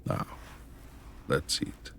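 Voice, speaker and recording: A man playing a brooding, reclusive beast of a manor lord in a romantic ASMR roleplay, gruff yet tender, with gravelly, breathy speech and formal old-fashioned phrasing. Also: extremely slow, bright, forceful tone, no room sound. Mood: tender, courteous.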